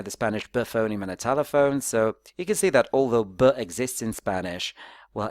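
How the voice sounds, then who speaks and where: Guy doing a chatty academic slide talk, lowish voice, dry sound, explains stuff like a teacher. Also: dry recording. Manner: conversational, didactic, checks in with rhetorical questions and tags. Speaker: academic presenter, phonetics researcher.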